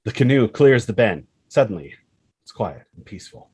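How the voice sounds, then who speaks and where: A man's voice: lowish voice, very animated, moderate pace, darkish tone, slightly rough, dry recording. A man reading a screenplay's action lines as dramatic narration, expressively and in short punchy phrases. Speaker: screenplay reader.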